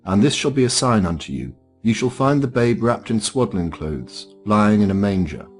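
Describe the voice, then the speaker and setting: Deep-ish male bible reader, formal narration, faint music behind him. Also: very animated, moderate pace. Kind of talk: scripture reading, formal narration.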